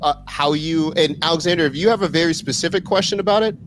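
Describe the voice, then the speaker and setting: A man's voice: fairly high pitch, fast, slight background noise. A man hosting a live interview, praising his guest effusively and talking to the chat over a video call. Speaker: livestream interview host.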